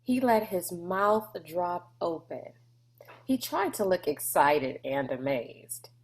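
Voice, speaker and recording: A woman's voice: on the low side, very animated, slow pace. A woman narrating a children's novel aloud in a scripted storybook style.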